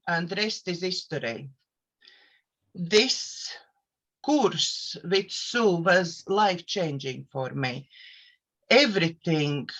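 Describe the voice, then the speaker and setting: Slow-spoken Latvian woman coach. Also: lowish voice, a bit bright. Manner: careful non-native English with hesitant fillers. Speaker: host, life coach and therapist. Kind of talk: self-introduction to camera.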